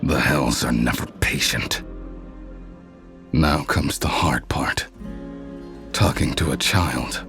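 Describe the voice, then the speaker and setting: An actor playing a weary, brooding warlock outcast, narrating grimly and deliberately in a motion comic. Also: male voice, a bass voice, heavily acted. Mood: grim, resolute. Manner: grim, deliberate, dryly understated. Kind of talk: first-person scripted narration in a motion comic. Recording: loud dramatic music bed. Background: dramatic music.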